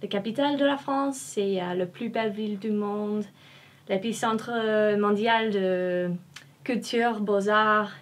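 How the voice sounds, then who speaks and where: A woman's voice: mid-range voice, unhurried. A young woman from Australia, an exchange student speaking with enthusiasm but hesitating in a second language. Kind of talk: testimonial interview.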